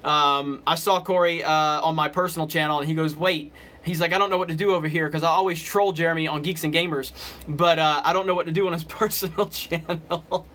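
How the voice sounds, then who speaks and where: A man's voice: high voice, pushed and bright. A man from Alabama with a slight Southern US accent, hosting a casual livestream and chatting off the cuff with commenters in a rambling way. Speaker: livestream host. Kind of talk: casual live chat with commenters.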